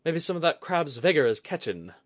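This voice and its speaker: A man's voice: a little high, sing-song, a little fast, fairly bright tone, voice pushed up. A man voicing a determined, wry woman mystic in a fantasy audiobook, informal and a little weary. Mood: wry, game.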